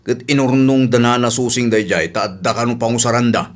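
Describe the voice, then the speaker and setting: A man's voice: mid-range voice, steady tone, fairly quick, close to an everyday voice. A man playing an exasperated village husband, scolding and complaining wearily in a comedic radio drama.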